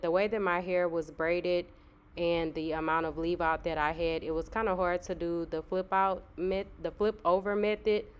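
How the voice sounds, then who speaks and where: Low-voiced woman, slightly stuffy nose. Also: smooth. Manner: relaxed, chatty, filler-heavy. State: slightly nasal from a cold. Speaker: hair vlogger. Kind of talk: casual tutorial narration.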